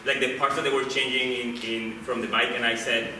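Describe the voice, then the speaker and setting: A man from Peru, hosting a live improv show and chatting with the audience in a halting way, full of fillers. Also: medium pitch, level tone, sharp tone, a little hiss or hum. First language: Spanish. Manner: halting, many restarts and fillers. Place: small theater, live audience. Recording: echoey theater, mic from a distance.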